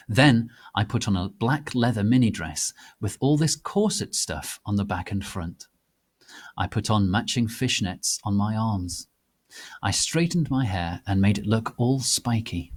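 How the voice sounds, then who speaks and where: A man giving a theatrical, deliberate dramatic reading of a piece of fan fiction. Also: on the low side, expressive. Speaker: reader.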